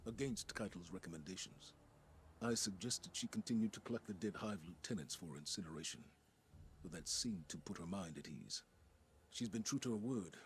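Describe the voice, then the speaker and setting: An actor playing a stern, reflective, dutiful commander in a video game, speaking in a grave, measured way. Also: male voice, low-pitched, a little hiss or hum. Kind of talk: dramatic video game monologue.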